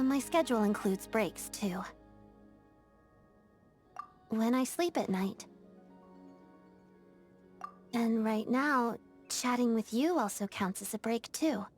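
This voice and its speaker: A woman voicing an earnest, disciplined young ballet dancer in a video game, speaking in a composed, formal and measured way. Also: fairly high pitch, close to an everyday voice. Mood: composed, reassuring. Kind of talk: video game character voice acting.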